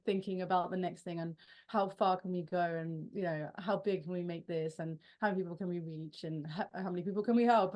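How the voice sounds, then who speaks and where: A woman's voice: lowish voice, a little mellow. A young woman, the frontwoman of a rock band, answering interview questions in a chatty, self-deprecating way with frequent restarts and laughs.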